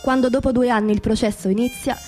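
Solemn woman narrator in measured phrases. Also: fairly high pitch, fairly even intonation, darkish tone, faint music behind. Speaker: stage narrator. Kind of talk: scripted theatrical narration.

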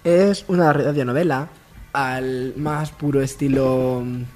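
A man co-hosting a casual comedy radio show, speaking in a halting, drawn-out, mock-solemn way. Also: medium pitch, steady tone, a little mellow. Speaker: radio show co-host and scriptwriter.

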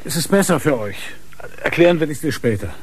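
A man's voice: on the high side, lively intonation, brisk, a little hiss or hum, close to an everyday voice. An actor playing a commanding, terse police inspector and father in a radio crime drama. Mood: urgent, controlled. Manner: terse, urgent, giving orders.

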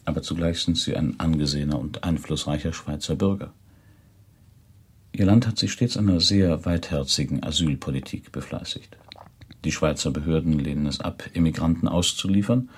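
A formal reporter in a radio play, with a very deep voice and a husky edge. He is courteous yet persistent, putting careful, probing questions. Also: fairly quick, full character voice. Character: polite, persistent German reporter conducting interviews. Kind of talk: 1970s German radio play acting.

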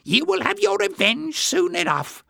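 Nasty little storybook villain, squeaky high voice, sneering fake politeness, really swoopy. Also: male voice, huge pitch swings, unhurried, vocal fry, pushed and bright, dry recording, exaggerated voice. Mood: impatient. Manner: sly, jeering, exaggerated mock courtesy. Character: malicious little man, former palace acrobat, mocking and spiteful.

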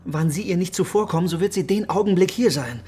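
A man's voice: sits high, breathy edge, faint music behind. An actor playing a conniving henchman who reports to his master with urgent deference, in a mid-century radio drama. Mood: urgent, alarmed. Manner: excited, deferential, hurried reporting.

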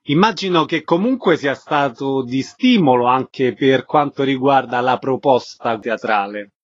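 A man's voice: medium pitch, expressive, unhurried, dry recording, muffled recording. A man working as a radio interviewer, putting questions to a guest.

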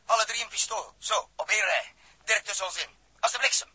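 An actor playing a commanding, decisive spaceship captain who barks terse orders in a 1960s Dutch radio drama. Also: male voice, sits high, a little fast, sharp tone, husky, no room sound, heavily acted, rough recording. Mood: brisk, commanding. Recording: old radio recording.

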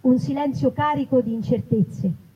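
Bright, high-voiced woman reading live. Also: moderate pace, husky. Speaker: writer and actress reading from own book. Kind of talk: live literary reading of comic vignettes. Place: live festival stage, outdoors in an olive grove. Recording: dull, noisy live event recording.

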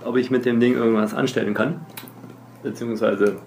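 Gravelly-voiced man playing a tabletop game. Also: mid-range voice, level tone, brisk, a little mellow. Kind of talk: casual game-table talk. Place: room with other players at a table. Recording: noisy group table recording.

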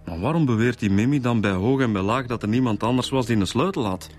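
A man's voice: mid-range voice, fast talker, a little mellow, soft background music, lightly acted. A Flemish man playing a down-to-earth homicide detective in a radio drama, talking casually and colloquially. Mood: casual, puzzled. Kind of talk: Belgian radio police drama acting.

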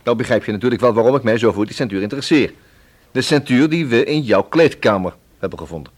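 An actor playing a calm, probing police inspector in a radio play, speaking in a measured, matter-of-fact, drily wry way. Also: male voice, medium pitch, lightly acted.

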